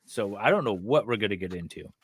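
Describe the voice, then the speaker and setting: A man's voice: lowish voice, lively intonation, quick pace, husky, no room sound. A man co-hosting a livestream, talking casually and sometimes reading aloud. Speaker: livestream co-host.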